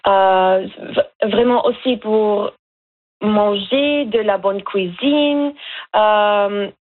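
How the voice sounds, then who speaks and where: A woman's voice: medium pitch, extremely slow. A young woman from Lebanon, a veterinary student and radio guest, speaks earnestly and hesitantly, with many fillers. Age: young adult. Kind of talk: phone-in radio interview. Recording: tinny phone line.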